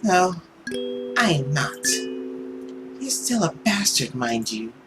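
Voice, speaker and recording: A man voicing a spurned, sharp-tongued woman who is still in love, soft and pointed, in a visual novel. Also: a little high, big pitch swings, slow pace, over music, pitched above the performer's own voice, rough recording. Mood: defiant, accusing. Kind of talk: dramatic visual novel reading.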